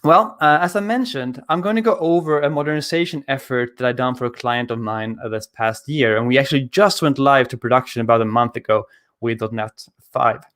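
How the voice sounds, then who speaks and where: Man, 30s, relaxed remote presenter, lots of ums, studio mic at home. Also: mid-range voice, fairly quick. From Sweden. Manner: relaxed, conversational, frequent filler sounds. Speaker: conference speaker. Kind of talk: technical talk over a video call. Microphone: studio mic on arm, video call.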